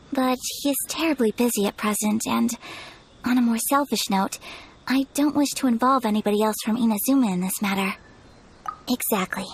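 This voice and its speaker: A woman voicing a refined, polite young noblewoman in a video game, speaking in formal, measured phrases with earnest reserve. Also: a little high, breathy edge. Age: young adult. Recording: background music.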